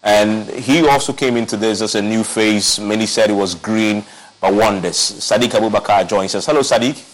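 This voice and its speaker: A man's voice: lowish voice, moderate pace, low-quality recording. A man hosting a political talk show, asking upbeat, probing questions of his guests in the studio. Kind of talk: political interview.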